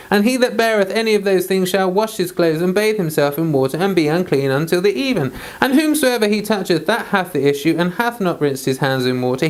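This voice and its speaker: A man who hosts and reads aloud, moving between casual chat and scripture. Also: sits high. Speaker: host and scripture reader.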